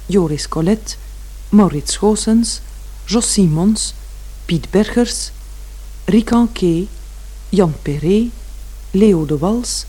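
A woman announcing radio play credits in a formal, measured scripted manner. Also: medium pitch, warm tone, husky, low-quality recording.

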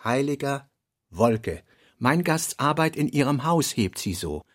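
Guy reading literary notes radio play style, medium pitch, clipped bits with pauses, deliberate. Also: sing-song.

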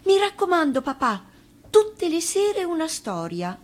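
Donna che fa la bambina curiosa, voce molto acuta. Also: female voice, very high-pitched, very animated, a little slow, voice pushed up, exaggerated voice. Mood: pleading, insistent. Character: little girl, clumsy, curious, bright and unafraid.

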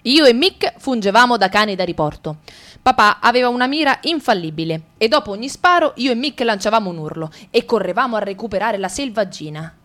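A woman's voice: a little high, animated, fairly quick, a bit bright. A woman performing a radio theatre reading. She races and escalates in her monologue and narrates steadily in the story passages. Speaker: stage reader.

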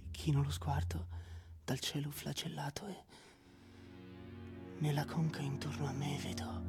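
An actress playing a dreamlike, awestruck narrator in a breathy, hushed whisper, as in radio theatre. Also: female voice, low voice. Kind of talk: dramatic literary reading, radio theatre.

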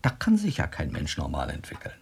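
An actor playing a skeptical, impatient doctor in a German radio drama, brisk and matter-of-fact. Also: male voice, a bass voice, huge pitch swings, vocal fry, darkish tone, slight background noise, full character voice. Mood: mocking, skeptical. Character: skeptical, impatient male doctor investigating a mystery.

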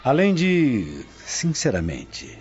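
An actor with a Brazilian accent playing a formal, scheming Roman gentleman in a radio drama. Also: mid-range voice, wildly swooping pitch, slow pace, faint music behind. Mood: confiding, longing. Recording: dull, muffled studio track.

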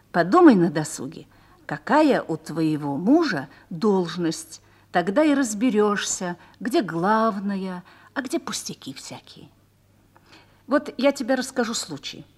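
A woman's voice: medium pitch, darkish tone. An actress playing a seasoned, motherly garrison officer's wife who instructs earnestly and persuasively in a Russian radio play.

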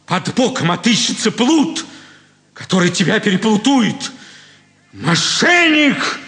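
An actor playing an outraged, self-pitying swindled card sharper in a classic stage comedy, exclaiming and cursing theatrically. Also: male voice, high-pitched, sharp tone, full character voice. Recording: echoey theatre hall with long reverb.

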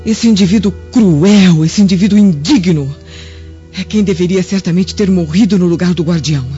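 An actress playing a bitter, haughty Roman wife in a Brazilian radio drama, with an emphatic, melodramatic delivery. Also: female voice, on the low side, normal speed, mellow, close to an everyday voice. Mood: solemn, indignant. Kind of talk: radio drama acting in Portuguese. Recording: dull lo-fi radio recording, music behind.

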